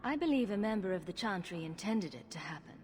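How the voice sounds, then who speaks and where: An actress playing a tough, suspicious adventurer heroine in a video game, blunt, direct and controlled. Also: female voice, medium pitch, animated, fast talker, in a large hall, slight background noise, close to an everyday voice. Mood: guarded. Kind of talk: video game cutscene acting.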